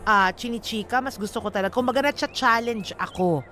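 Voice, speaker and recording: A woman's voice: on the high side, a little fast, sharp tone, soft background music. A Filipino woman co-hosting a radio show, chatting casually and slipping into English. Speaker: radio DJ co-host. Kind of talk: casual chat on a love-story radio show.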